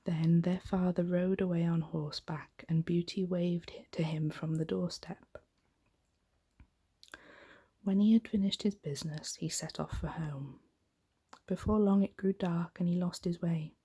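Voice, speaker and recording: Breathy whispering woman reading a bedtime story. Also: on the low side, fairly even intonation. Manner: slow, gentle, hushed. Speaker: ASMR storytelling host. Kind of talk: soft-spoken ASMR bedtime story reading.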